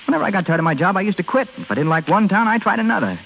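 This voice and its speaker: A man's voice: high voice, sing-song, rapid-fire, creaky, a little hiss or hum, full character voice. A man playing a proud, frustrated young husband with blustery, clipped 1930s radio drama acting, on a tinny old recording. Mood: frustrated, defiant.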